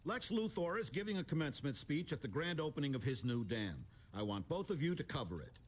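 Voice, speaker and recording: Impatient editor boss, raised pitch, animated, brusque, game cutscene. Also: male voice, fairly quick. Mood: impatient, bossy. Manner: barking orders, brusque. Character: gruff, bossy, impatient male newspaper editor. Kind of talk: video game cutscene acting.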